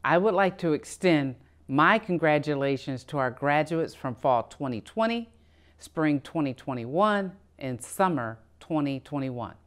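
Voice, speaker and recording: A middle-aged woman reading a formal commencement address from a podium in a measured way. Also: deep voice, animated. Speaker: college president giving commencement address. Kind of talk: formal prepared speech. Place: studio in front of a backdrop.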